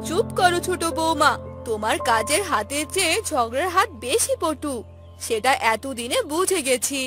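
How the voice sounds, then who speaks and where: Exasperated elderly mother-in-law, played by a woman: high, animated, sharp-tongued cartoon scolding over clear music. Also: normal speed. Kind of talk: Bengali cartoon voice acting.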